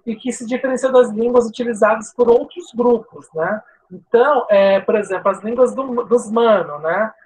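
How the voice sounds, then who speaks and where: Woman language teacher, coastal São Paulo accent, hissing S, slightly high voice, informal online class. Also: normal speed, darkish tone, rough recording. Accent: Brazilian Portuguese, coastal São Paulo. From São Paulo state coast, Brazil. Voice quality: sibilant S. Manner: informal, chatty, frequent "né?" tags and restarts.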